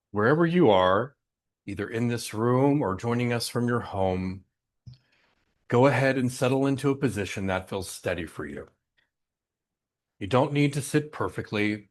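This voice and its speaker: A man guiding a breathing meditation in a gentle, unhurried way, leaving long pauses between short instructions. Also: mid-range voice, lively intonation. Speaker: meditation guide.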